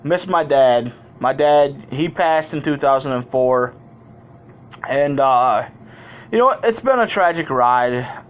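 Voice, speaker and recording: A man's voice: medium pitch, lively intonation, takes it slowly. A man vlogging in a candid, colloquial way, rambling through personal stories as he talks straight to his viewers. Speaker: YouTube vlogger. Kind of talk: casual personal storytelling to viewers.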